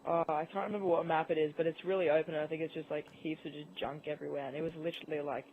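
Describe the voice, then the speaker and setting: A man's voice: on the high side, level tone, rapid-fire, narrow, tinny audio, slight background noise. A man in a casual gaming chat over an online voice call, with game audio behind him. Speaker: podcast guest. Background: video game audio.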